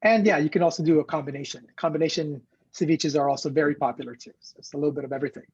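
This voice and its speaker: Man, rambling cooking teacher, husky voice, dry dull call audio. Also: fairly high pitch, steady tone, very fast, darkish tone, no echo. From Ecuador. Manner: loose, rambling, many fillers and restarts. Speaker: cooking instructor. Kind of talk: casual online cooking class.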